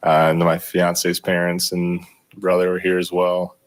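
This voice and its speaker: A young man, a rookie pitcher, answering postgame questions in a modest, low-key way with many ums. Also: monotone, a little slow. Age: young adult. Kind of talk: postgame media interview.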